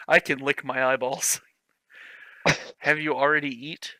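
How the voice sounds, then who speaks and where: A man's voice: medium pitch, sharp tone, no room sound, poor audio. A man reading fan fiction aloud for laughs, with mock-dramatic delivery and frequent laughter. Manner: reads stiff prose with mock drama, breaks into laughter. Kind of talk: comedic dramatic reading with commentary.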